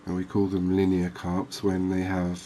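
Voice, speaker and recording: A man giving unhurried, murmured ASMR commentary as he hosts a fishing game series. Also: deep voice, completely flat delivery, mellow, a little hiss or hum. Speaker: host of a gaming series.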